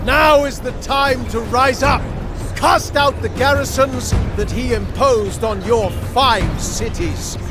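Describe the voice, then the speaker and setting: A man's voice: very high voice, takes it slowly. A man playing a defiant orator in a game cutscene, declaiming scornfully to stir up a revolt against a tyrant. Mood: rousing defiance. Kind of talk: theatrical game cutscene speech. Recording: crowd and music behind the speech.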